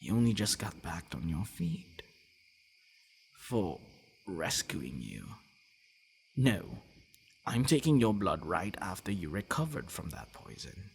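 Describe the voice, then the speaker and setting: A man playing a gentle, devoted vampire boyfriend in an intimate ASMR roleplay, speaking softly and haltingly. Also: low voice, big pitch swings, slight background noise, heavily acted. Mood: surprised, reluctant. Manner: halting, soft-spoken, often dropping to breathy murmurs.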